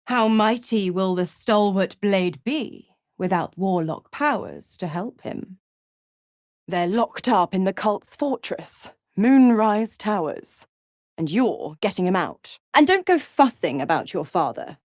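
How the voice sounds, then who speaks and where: A woman's voice: mid-range voice, lively intonation, darkish tone, no room sound, clean studio sound. Silky, taunting she-devil, swooping delivery. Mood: taunting, menacing. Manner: silky, condescending, theatrical, drawn-out taunting. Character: seductive, gloating female devil patron, cruelly teasing. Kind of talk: video game character voice acting.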